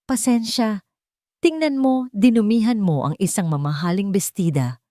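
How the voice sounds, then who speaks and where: A woman narrator playing a spiteful society lady in an audio love drama. She scolds with open contempt, lifting her pitch above a dry, close recording. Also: normal speed, warm tone, dry recording, lightly acted. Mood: scolding. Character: haughty, spiteful rich young society woman.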